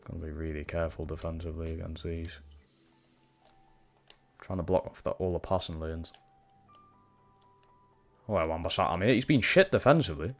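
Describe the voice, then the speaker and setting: A man's voice: low-pitched, normal speed, gravelly, pauses often, soft background music. A young man with a Geordie accent commentating live on his football game, swearing and reacting to every pass. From Newcastle, England. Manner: sweary, frustrated reactions to play. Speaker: streamer playing a football career mode.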